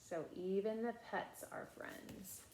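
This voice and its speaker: A woman reading a children's picture book aloud in an expressive, emphatic way, with casual asides. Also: medium pitch, slow pace, a little hiss or hum. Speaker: read-aloud storyteller. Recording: echoey room.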